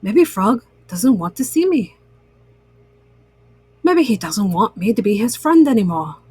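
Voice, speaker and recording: A woman's voice: medium pitch, sing-song, unhurried, a little mellow, slightly rough, pitched below the performer's own voice, exaggerated voice. A woman voicing an earnest, anxious male toad in a children's story, with a plaintive way of talking. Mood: worried.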